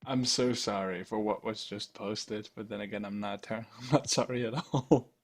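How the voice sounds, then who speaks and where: Teasing Dutch-accented man, lowish voice. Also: fairly even intonation, moderate pace. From Netherlands. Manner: teasing, joking. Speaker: stream host. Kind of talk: casual group call chat.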